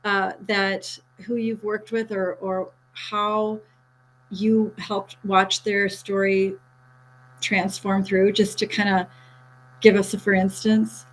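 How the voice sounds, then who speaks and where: A woman's voice: mid-range voice, little pitch movement, slow pace, slight background noise, poor audio. A woman moderating an informal panel discussion in a conversational, encouraging way.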